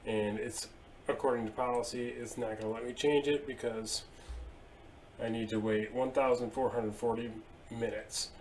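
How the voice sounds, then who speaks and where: A man in his thirties at his desk, casually explaining software settings step by step with a few fillers. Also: mid-range voice, fairly even intonation, normal speed, a little hiss or hum, low-quality recording. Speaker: IT tutorial presenter. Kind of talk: screen-share software walkthrough. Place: indoor room at a desk.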